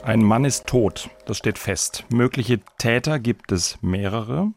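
A man's voice: medium pitch, takes it slowly, faint music behind. A man hosting a podcast in a scripted, presenting style. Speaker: podcast host.

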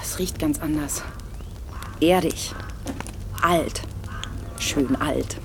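A dry weary wife in a radio play, lowish gravelly voice, noisy background. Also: female voice, drawn-out words, exaggerated voice. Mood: wistful. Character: cool, world-weary wife, contradicting her husband. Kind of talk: naturalistic German radio drama acting.

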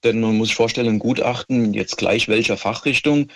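A man, answering interview questions as an expert in a measured, matter-of-fact way with careful phrasing. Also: medium pitch, fairly bright tone. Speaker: police handwriting expert, interview guest.

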